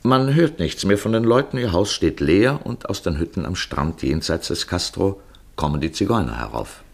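Very low husky man narrating. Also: expressive, moderate pace. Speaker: second narrator. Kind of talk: literary radio play narration.

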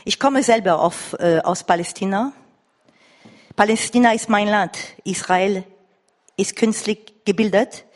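A woman from France with a foreign accent, speaking from the floor haltingly and insistently as she searches for words. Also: medium pitch. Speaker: audience member at a lecture. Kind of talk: spontaneous comment from the floor. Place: reverberant lecture room or hall. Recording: echoey hall recording of live talk.

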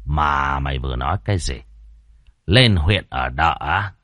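A man voicing a greedy landlord in his fifties who bullies in a slow, low, gruff drawl. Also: lively intonation, a bit bright, dry recording, heavily acted. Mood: menacing.

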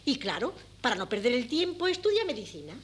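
A middle-aged Castilian Spanish mother in old radio theatre. Her voice is fairly high, chatty and full of naive pride in her family. The tape is old and slightly noisy. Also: big pitch swings, fast talker. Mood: proud. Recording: noisy 1979 radio cassette recording.

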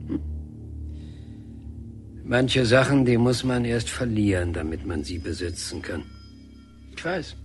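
A man playing a calm, fatherly older painter in a German radio drama, talking soothingly and colloquially. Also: on the low side. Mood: wistful.

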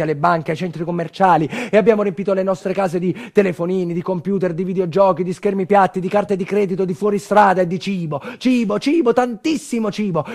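A man's voice: fairly high pitch, darkish tone. An actor playing an earthquake survivor in a theatrical monologue, telling his town's bitter, nostalgic story in incantatory lists. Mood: mounting intensity.

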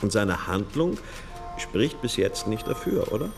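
An actor playing a brooding, intuitive police detective in a radio crime drama, thinking aloud with insistent questions. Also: male voice, lowish voice, big pitch swings, unhurried, vocal fry, background noise, exaggerated voice. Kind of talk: naturalistic German radio crime drama acting.

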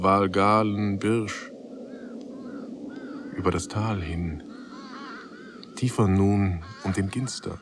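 Slow low-voiced male narrator, solemn. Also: background noise. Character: grave male narrator of a sombre literary tale. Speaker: radio play narrator. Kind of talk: literary radio drama narration.

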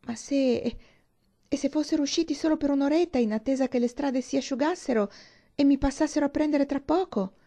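A woman's voice: high-pitched, brisk, warm tone, voice pushed up. A woman voicing an eager, naive young woman in a storybook, with hesitant, questioning exclamations. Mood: wistful, anxious. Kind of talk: audiobook character dialogue.

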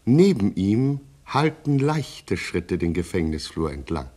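A man's voice: deep voice, sing-song, takes it slowly, noisy background, heavily acted. A man narrating a satirical radio crime comedy from a script.

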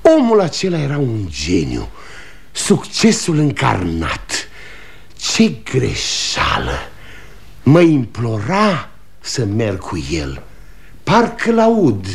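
A man's voice: mid-range voice, wildly swooping pitch, vocal fry. An actor playing a weary, irritable aging father and failing salesman in a 1960 radio drama, rambling and scornful. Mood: wistful, regretful. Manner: agitated, rambling, nostalgic, scornful. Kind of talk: 1960 Romanian radio theatre acting.

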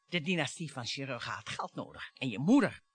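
An actress playing an embittered, taunting wife in a radio play, with a theatrical, mocking and volatile delivery. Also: female voice, a bass voice, lively intonation, a little fast, husky, no echo, faint music behind, exaggerated voice. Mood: bitter, resigned. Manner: dramatic, mocking, volatile monologues.

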